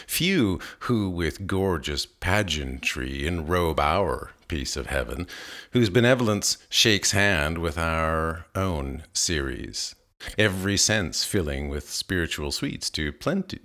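A man reads Romantic verse aloud in an expressive literary recitation, with deliberate, dramatic phrasing. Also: sits low. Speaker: poetry reader.

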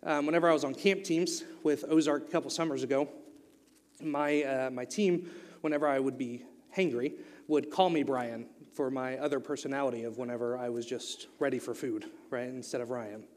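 A man's voice: medium pitch, steady tone, normal speed, a little mellow, long reverb tail. A young man with an Oklahoma accent giving a youth sermon, moving from a casual story into emphatic preaching. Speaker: youth speaker.

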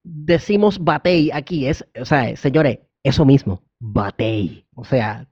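A man's voice: mid-range voice, slow pace, a little mellow, dull audio. A man from Puerto Rico with a Puerto Rican accent, talking casually and colloquially in a discussion, repeating himself for emphasis. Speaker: participant in a group discussion.

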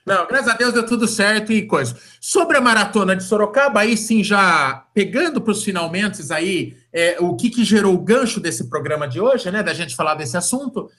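A man hosts a running podcast with a high, bright voice. He jokes and swears freely, rambling and circling back over the same phrases. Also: animated, normal speed, hardly any pauses. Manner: joking, profane, rambling. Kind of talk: casual chat.

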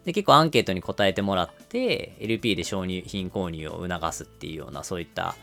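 A man's voice: low-pitched, lively intonation, brisk, vocal fry, sharp tone, faint music behind. A man co-hosting a podcast, explaining business matters conversationally in long, connected runs. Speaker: podcast co-host explaining marketing.

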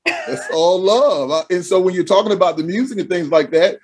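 A man's voice: high-pitched, brisk. A man in his fifties from Charleston hosting an interview, laughing along and offering easy affirmations. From Charleston, South Carolina. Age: 50s. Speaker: podcast host. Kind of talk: casual interview.

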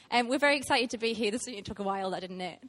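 A woman's voice: on the high side, quick pace. A woman, preaching a heartfelt sermon to a church with frequent ums. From Teesside, England. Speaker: guest preacher.

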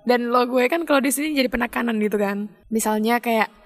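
A woman's voice: a little high, brisk. A young woman from Yogyakarta with a light Javanese-influenced Indonesian accent, co-hosting a podcast in a playful, teasing way. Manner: playful, teasing, laughs often. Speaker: podcast co-host. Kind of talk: casual podcast chat.

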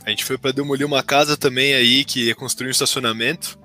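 A man's voice: mid-range voice, steady tone, fast talker, sharp tone, faint music behind. A man in casual podcast chat, trading joking banter with the others.

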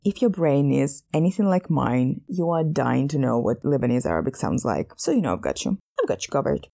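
Gravelly-voiced woman narrating playfully. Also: extremely low pitch, very animated, a little fast, mellow. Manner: playful, chatty, addresses listener. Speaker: podcast host. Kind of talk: scripted narration.

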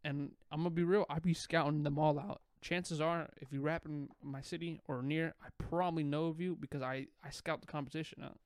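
A young man with a slightly high voice, talking in a loose, rambling way and swearing often. He sounds like a podcast guest talking about his music. The sound is close and dry, with no room echo. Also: steady tone, fairly quick, few pauses, a little mellow, smooth. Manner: laid-back, rambling, swears a lot. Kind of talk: casual podcast chat.

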